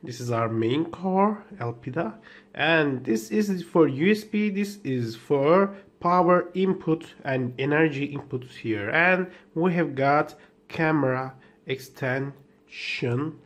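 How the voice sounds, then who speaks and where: Slow, halting man with a Turkish first language. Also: a little high, expressive. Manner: hesitant, halting, with many fillers and restarts. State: just woken up. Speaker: tech vlogger. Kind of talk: unboxing commentary.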